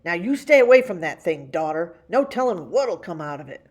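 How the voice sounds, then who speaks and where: Storybook farmer father, acted by a woman: stern, worried, plain-spoken, emphatic, a touch husky. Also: lowish voice, sing-song, a little fast, a little mellow.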